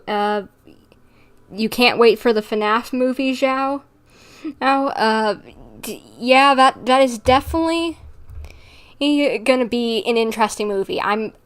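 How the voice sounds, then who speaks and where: A woman livestreaming a game, chatting casually with viewers in a rambling, giggly manner as she plays. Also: a little high. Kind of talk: casual livestream chat while playing, replying to viewers.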